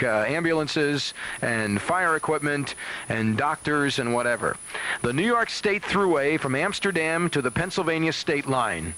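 Male American seventies radio announcer: sharp, higher-pitched, emphatic, admonishing emergency delivery. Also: slight background noise, poor audio. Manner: emphatic, admonishing, repeats key points. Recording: 1970s radio aircheck on cassette.

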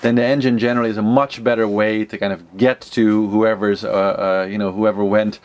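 A man's voice: fairly low pitch, little pitch movement, a little fast. Man explaining sailing, hesitant and rambling. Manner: hesitant, rambling, thinking aloud. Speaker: sailing instructor and series host. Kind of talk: informal explanatory vlog talk to camera. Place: small wood-lined sailboat cabin, indoors.

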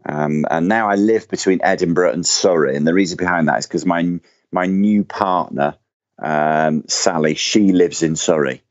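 A man's voice: deep voice, moderate pace, dry recording. A middle-aged man from Manchester with a Mancunian accent, chatting as an interview guest, rambling with lots of fillers and repeats. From Manchester, England. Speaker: guest business owner. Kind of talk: casual interview chat. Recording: dull lo-fi dry sound.

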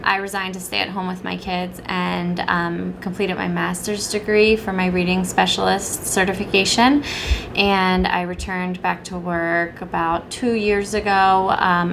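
An American woman in her thirties answers interview questions informally. Her voice sits low with a lot of fry, and clear room noise runs behind her. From Pennsylvania, United States. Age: 30s. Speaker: reading specialist. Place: small school office, seated. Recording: clearly noisy room ambience.

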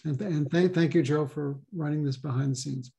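A man hosting a talk over a video call, speaking haltingly with many pauses and ums. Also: fairly high pitch, gravelly, dark tone. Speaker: host and co-chair.

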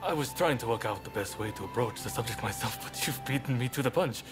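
A man playing an earnest, soft-hearted samurai leader in a video game, speaking in measured, polite, formal phrases. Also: mid-range voice, expressive, music in the background, natural voice. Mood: tender. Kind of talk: video game cutscene acting. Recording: game audio with clear background ambience.

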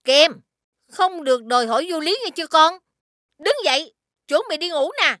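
A woman voicing a firm but loving young mother in a children's cartoon, gently firm, with a southern Vietnamese accent. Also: high voice, moderate pace. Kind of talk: animated children's cartoon dubbing.

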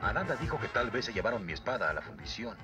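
A man's voice: fairly low pitch, big pitch swings, fast talker. A man playing an earnest, determined young prince with an urgent manner in a Latin American Spanish cartoon dub. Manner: urgent, emphatic. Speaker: voice actor in a dubbed cartoon. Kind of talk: dramatic cartoon dub acting. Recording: dubbed cartoon with loud music underneath.

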